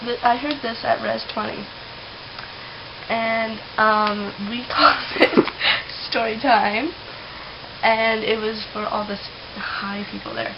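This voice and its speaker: A young woman chatting and telling stories casually as a vlogger, with an informal, halting, giggly manner. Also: a little high, fairly even intonation, creaky. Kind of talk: casual chat and rambling storytelling. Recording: muffled webcam-style audio with loud hiss.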